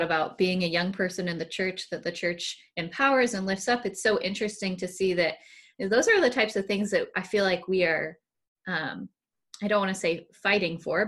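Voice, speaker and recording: A woman's voice: medium pitch, a bit bright. A woman hosting a casual interview over a video call, chatting conversationally with her guest. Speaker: interviewer, church project associate director.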